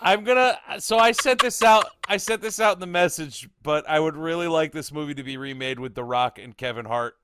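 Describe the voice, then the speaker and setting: A man's voice: on the high side, fairly quick, hardly any pauses, pushed and bright, slight background noise. A man co-hosting a podcast, cracking crude jokes and laughing often in casual movie banter. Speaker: podcast co-host.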